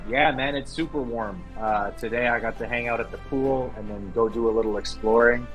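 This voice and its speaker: A man's voice: mid-range voice, brisk, fairly bright tone, soft background music, low-quality recording. A man joining an online show as a guest over a video call, talking in an easygoing, hesitant way. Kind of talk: casual chat on a video call.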